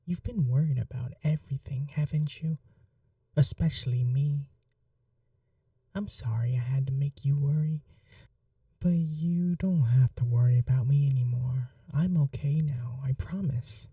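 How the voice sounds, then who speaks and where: A performer voicing a sweet, innocent young vampire prince, a doting younger brother, in breathy, earnest ASMR roleplay. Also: mid-range voice, a little slow, mellow, no room sound, far from the mic. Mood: reassuring. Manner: breathy, whispery, earnest, affectionate.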